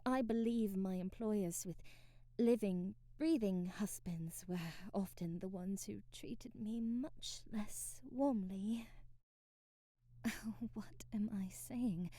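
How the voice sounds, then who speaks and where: A woman playing a timid, devoted maid in an ASMR roleplay, babbling hesitantly and apologetically in formal old-fashioned wording, with a breathy voice that often drops to a whisper. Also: mid-range voice, warm tone, no echo, clean studio sound, lightly acted. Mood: shy, admiring.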